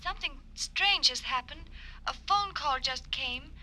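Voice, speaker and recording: A woman's voice: high-pitched, animated, a little slow, pushed and bright, exaggerated voice, poor audio. An actress playing a frightened but polite young woman heard over the telephone in a 1950s radio crime drama.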